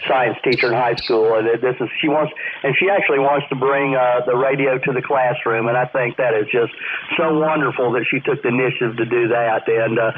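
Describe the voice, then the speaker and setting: A man's voice: mid-range voice, steady tone, a little fast, hardly any pauses, background noise. A man calling in as an amateur radio operator, chatting casually in an easygoing, rambling, polite way over a narrow-band radio link. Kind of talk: casual on-air radio conversation.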